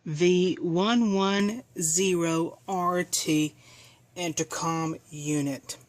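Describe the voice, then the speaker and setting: A speaker giving a casual hands-on equipment demonstration in a halting, self-correcting way. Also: medium pitch, no echo. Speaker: video presenter demonstrating equipment.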